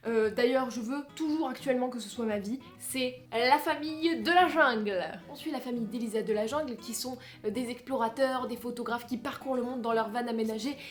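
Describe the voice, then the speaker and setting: Une femme à l'français qui parle face caméra comme une vlogueuse, de façon exclamative, joueuse et théâtrale. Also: female voice, fairly high pitch, fairly quick, hardly any pauses. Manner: exclamatory, playful, theatrical emphasis. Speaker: vlogger presenting a countdown. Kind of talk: animated casual chat to camera.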